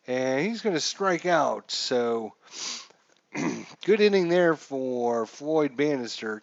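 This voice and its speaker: Slow-paced man calling a baseball game. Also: mid-range voice, no room sound, muffled recording. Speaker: live streamer, host. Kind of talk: baseball game play-by-play commentary.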